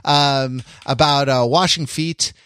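A man's voice: mid-range voice, steady tone, slow, a bit bright, clear, resonant tone, no echo, clean studio sound. A man co-hosting a podcast in casual panel chat, wry and wordy in his delivery. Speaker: podcast host.

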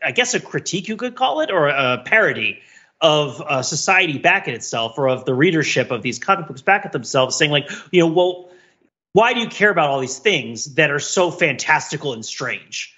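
A man's voice: on the high side, big pitch swings, normal speed, sharp tone, muffled recording. A man speaking as a podcast panelist in a discursive, emphatic, intellectual way, in casual analytical discussion.

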